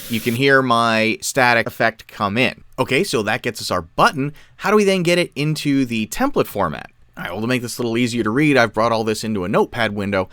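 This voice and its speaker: A man explains software step by step in a tutorial style. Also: mid-range voice, expressive, fairly quick, few pauses, dry recording. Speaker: tutorial presenter. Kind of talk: software tutorial, explanatory screen-share narration.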